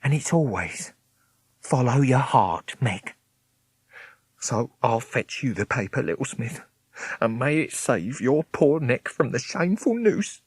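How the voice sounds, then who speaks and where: A man reading aloud, voicing a kindly, superstitious old servant woman in a high, quavering rustic dialect. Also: big pitch swings, a little slow, breathy edge, no echo. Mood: tender, earnest.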